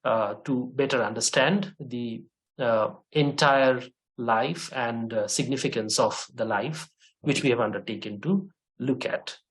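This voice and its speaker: A man who speaks English as his third language, teaching an online course and explaining slowly, with frequent fillers and restarts. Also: mid-range voice, rough recording. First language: not English. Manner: hesitant, many fillers and restarts. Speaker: course instructor.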